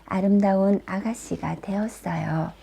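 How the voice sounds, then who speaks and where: A woman reading a children's fairy tale aloud as a teacher-storyteller, with careful, expressive scripted narration. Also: medium pitch, measured pace, creaky, slightly rough.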